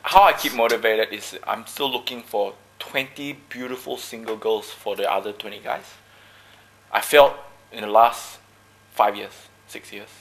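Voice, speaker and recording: A chatty man from Malaysia answers interview questions, fond of wisecracks and loose, easy phrasing. His voice sits a little low, and a faint hiss runs under the recording. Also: unhurried, rough recording. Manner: joking, chatty, relaxed. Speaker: dragon boat paddler.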